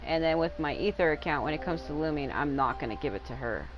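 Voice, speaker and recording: Female gamer, relaxed off-the-cuff commentary in a lowish voice, game music behind her. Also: fast talker, low-quality recording. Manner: informal, swears freely. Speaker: gaming video creator. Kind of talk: casual gameplay commentary.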